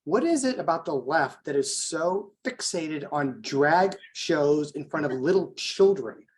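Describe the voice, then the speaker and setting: A man's voice: a little high, expressive, measured pace. An American man hosting a conversational political interview, talking informally and working his way round to his questions.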